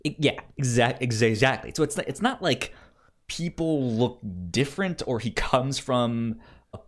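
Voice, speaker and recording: A man co-hosting a podcast in casual chat, halting and stammering over his words as he talks. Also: medium pitch. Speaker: podcast co-host.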